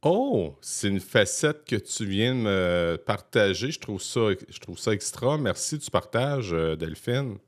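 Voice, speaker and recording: A man's voice: lowish voice, big pitch swings, a little slow, few pauses. A man hosting an interview, asking questions in a hesitant, encouraging way. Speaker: podcast host. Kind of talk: conversational interview.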